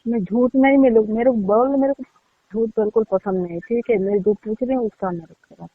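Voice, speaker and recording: A woman with a high voice speaks over a narrow, tinny phone connection. Her delivery is insistent and wounded, like someone accusing a loved one in a private call. The sound is dry and close. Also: a little fast, husky, no echo. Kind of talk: emotional personal phone call. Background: phone call recording.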